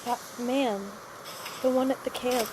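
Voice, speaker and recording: An actress playing an exhausted, frightened young girl in the desert in an audio drama, speaking in halting, plaintive phrases. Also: high-pitched, steady tone, noisy background. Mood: traumatized, halting. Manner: halting, faltering, plaintive speech. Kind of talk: cinematic audio drama acting.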